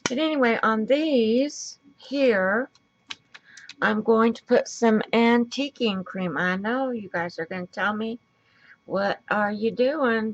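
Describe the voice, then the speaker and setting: A woman with a raspy voice and a stuffy nose, chatting casually as she works through a crafting tutorial and thinks aloud. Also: a little high, muffled recording, a little hiss or hum. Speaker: craft video host.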